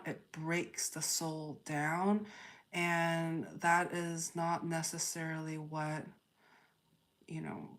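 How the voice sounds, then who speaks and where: Female livestream tarot host: lowish voice, unhurried, halting spiritual chat, repeats phrases while thinking aloud. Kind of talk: spontaneous spiritual reading, chatting with live viewers.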